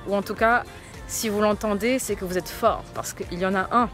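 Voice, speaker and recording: A woman's voice: medium pitch, normal speed, fairly bright tone, faint music behind. A young woman from Portugal with a light northern Portuguese accent, a fitness coach telling her own story in a chatty vlog, with frequent fillers.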